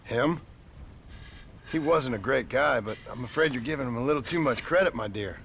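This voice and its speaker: Wry cowboy gunslinger. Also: male voice, medium pitch, expressive, moderate pace, fairly bright tone, slight background noise. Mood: wry, calm. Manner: dry, sardonic. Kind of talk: video game cutscene acting.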